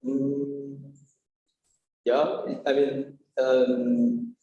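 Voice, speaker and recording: A young man from Malaysia, a student guest on a talk show, speaking shyly and haltingly with many fillers. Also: medium pitch, level tone, warm tone, muffled recording, a little hiss or hum. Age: young adult. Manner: shy, halting, many yeahs and I means. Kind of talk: casual talk show chat. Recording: echoey room.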